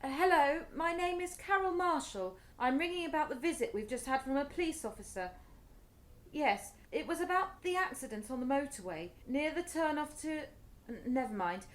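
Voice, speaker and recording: A British actress playing an anxious, fretful middle-aged mother who is quick to anger, in a radio play. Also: sits high. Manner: fretful, emotional, swinging from pleading to exasperated.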